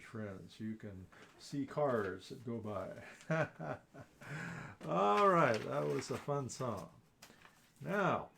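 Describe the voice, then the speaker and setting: A man's voice: on the high side, creaky, a bit bright, a little hiss or hum. A man hosting a live ukulele class, talking in a friendly, teacherly way with frequent chuckles and fillers. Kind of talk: live online class talk.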